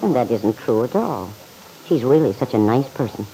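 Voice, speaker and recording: A gentle, reasonable confidant in a classic radio serial. The voice is soft-edged and mellow, measured and sympathetic. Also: male voice, medium pitch, big pitch swings, creaky, rough recording. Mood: concerned, kindly. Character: kindly, earnest, reasonable confidant in a courtroom. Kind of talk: old-time radio drama acting.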